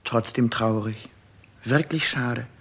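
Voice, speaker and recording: An actor in a 1960s German radio play playing a loyal royal attendant who laments in solemn, emphatic declamation. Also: male voice, mid-range voice, takes it slowly, husky. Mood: solemn, regretful.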